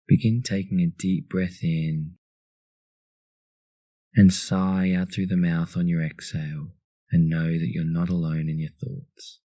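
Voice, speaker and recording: Male narrator for guided meditation. Deep, soft dark timbre, calm and soothing delivery. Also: measured pace, no room sound.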